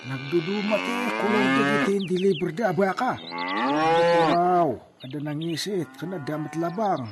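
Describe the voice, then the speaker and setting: A man's voice: sits high, moderate pace, a crowd in the background. A man playing an eager, respectful livestock hand in an Ilocano radio drama. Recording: noisy cattle sounds behind. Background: mooing cattle.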